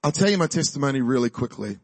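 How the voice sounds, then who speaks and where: Exuberant New Zealand preacher, dry sound. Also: male voice, medium pitch, sing-song, fast talker, breathy edge, no echo. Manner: chatty, exuberant, laughs often, rallies the crowd. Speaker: guest preacher. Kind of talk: church sermon with personal testimony. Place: church.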